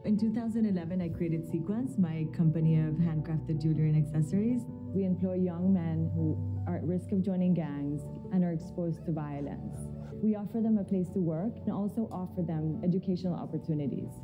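A woman from El Salvador, a company founder, calmly and earnestly telling her story in a brand video. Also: on the low side, a little fast, phone-quality sound. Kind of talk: testimonial narration in a brand video. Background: music.

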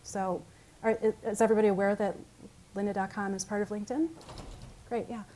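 A woman whose first language is Italian speaks to a conference audience. Her pitch sits in an ordinary middle range. She keeps it conversational, pausing on fillers and restarting her phrases as she goes. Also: fairly even intonation, dark tone, low-quality recording. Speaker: conference presenter. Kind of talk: conference talk.

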